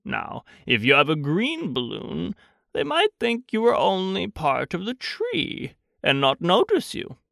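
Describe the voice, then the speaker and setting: A narrator voicing a slow, earnest, anxious male toy bear who muses hesitantly in a children's storybook. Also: mid-range voice, wildly swooping pitch, vocal fry, a bit bright, dry recording, clean studio sound, pitched above the performer's own voice, heavily acted. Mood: pondering, earnest. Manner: hesitant, deliberate, drawn-out musing.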